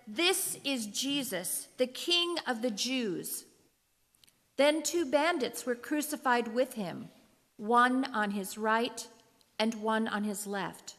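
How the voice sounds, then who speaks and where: A woman narrates scripture in a solemn, scripted style. Her pace is slow and her pitch a little high. The sound carries long reverb from a big hall. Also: a bit bright.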